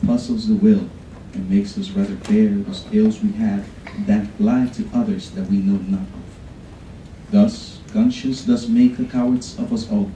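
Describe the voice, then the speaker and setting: Dark-voiced young man reciting verse. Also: lowish voice, unhurried, distant mic, a little hiss or hum. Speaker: contest reader. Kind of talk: dramatic poetry recitation. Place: large college event room, from far off. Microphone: podium mic, far camera. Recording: echoey room recorded from afar.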